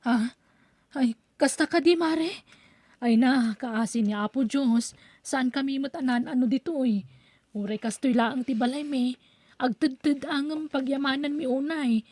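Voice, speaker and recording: A woman's voice: sits high, a little slow, darkish tone, no room sound. A woman playing a kind, devout neighbor who comforts others with prayer in a radio drama. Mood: soothing. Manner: soothing, prayerful. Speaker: voice actor.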